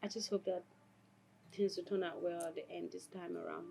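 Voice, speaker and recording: A woman's voice: lowish voice, sing-song, brisk, vocal fry, dark tone. A woman playing an earnest, devoted young adult daughter in a film drama, speaking in a pleading way. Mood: grateful, hopeful.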